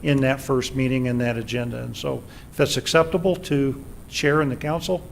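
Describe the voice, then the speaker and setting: A man's voice: medium pitch, steady tone, moderate pace, creaky, a little hiss or hum. Man at meeting, restarting phrases. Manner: repeats and restarts words. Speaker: city official at meeting. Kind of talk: public meeting remarks. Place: city council chamber.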